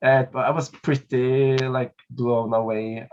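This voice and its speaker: A man's voice: medium pitch, little pitch movement, takes it slowly, muffled recording, a little hiss or hum. A man, answering interview questions over a video call, hesitant with many fillers and small laughs. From Norway. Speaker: singer and documentary subject. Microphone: laptop webcam mic on a video call.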